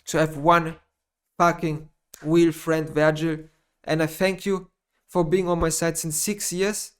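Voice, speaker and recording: A man speaking English with a German accent, his voice sitting a little high for a man. He talks off the cuff in a personal monologue, rambling, stumbling over words and repeating himself as he goes. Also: little pitch movement, clear, resonant tone. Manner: rambling, halting, repeats phrases, frequent restarts. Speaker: gaming YouTuber.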